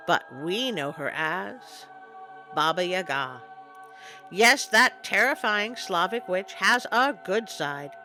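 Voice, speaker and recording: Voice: mid-range voice, pushed and bright. A storytelling host reading scripted folklore narration in a theatrical, playfully ominous manner. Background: music.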